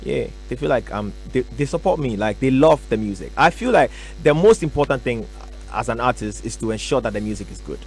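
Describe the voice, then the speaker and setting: A young man from Ghana, a musician answering radio interview questions in a relaxed, soft-spoken way with many fillers. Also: medium pitch, fairly quick, vocal fry, few pauses, a little hiss or hum, poor audio. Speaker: musician guest. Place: radio studio.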